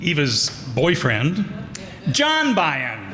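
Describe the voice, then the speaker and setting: A man announcing cast credits live, speaking off the cuff and pausing between names. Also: fairly high pitch, huge pitch swings. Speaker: announcer and troupe member reading cast credits. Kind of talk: live unscripted cast introductions. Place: hall before a live audience. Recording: echoey hall, loud audience noise.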